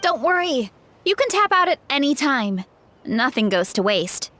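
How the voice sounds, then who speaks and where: A woman's voice: high voice, very animated, normal speed, a bit bright. A woman playing a cheerful, modest young woman in a video game, friendly and encouraging. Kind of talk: English video game voice acting.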